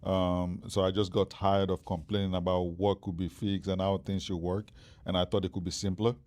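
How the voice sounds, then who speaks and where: A man's voice: deep voice, flat delivery, moderate pace, a little mellow. A Nigerian man in his forties, a startup founder, answering interview questions with many fillers and restarts. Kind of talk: interview conversation.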